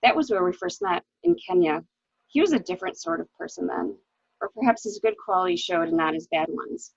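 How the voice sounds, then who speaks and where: A woman's voice: medium pitch, lively intonation, fairly quick, vocal fry, breathy edge, no room sound, heavily acted. A woman acting a resigned, bitter wife suspected of murder, with genteel, theatrical phrasing, in a dramatic reading of a mystery. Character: resigned, bitter wife caught beside her murdered husband. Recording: dull, lo-fi audio.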